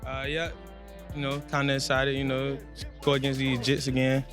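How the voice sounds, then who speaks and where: A man's voice: mid-range voice, completely flat delivery, moderate pace, a bit bright, soft background music. A young man, a football player, answering casually with slang and frequent fillers. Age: young adult. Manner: laid-back, slangy, lots of you know. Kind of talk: casual interview.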